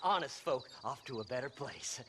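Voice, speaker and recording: An actor playing a deranged, stammering, obsessive American grave robber in a western video game. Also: male voice, mid-range voice, lively intonation, moderate pace, close to an everyday voice. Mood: muttering, morose. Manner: rambling, stammering, unhinged. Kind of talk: video game cutscene acting. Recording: clear noise, insects chirping outdoors.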